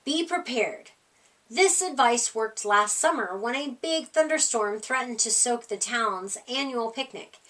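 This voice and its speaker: A woman with a fairly high, bright voice narrates a children's storybook. Her read-aloud is expressive and emphatic, pitched for young listeners at storytime. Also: measured pace, hardly any pauses, recorded from a distance. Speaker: storyteller reading a children's picture book.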